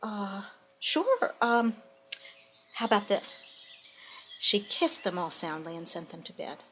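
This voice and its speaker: A woman's voice: lowish voice, a little slow, fairly bright tone, a little hiss or hum. A woman voicing an eager, slightly boastful nursery-rhyme girl puppet who recites in a sing-song way. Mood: helpful.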